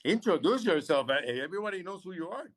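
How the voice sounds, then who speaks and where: A man's voice: sits high, very animated, fast. A young man from Puerto Rico in his twenties, co-hosting a casual live stream podcast in a playful, teasing way. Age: 20s. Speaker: podcast co-host.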